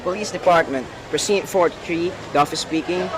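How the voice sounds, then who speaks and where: A man's voice: fairly high pitch, fairly quick, a crowd in the background, lightly acted. A young man acting a skeptical, dismissive police desk officer in an amateur radio play, patronizing and matter-of-fact, with background noise. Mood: businesslike. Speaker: student actor in a school radio play.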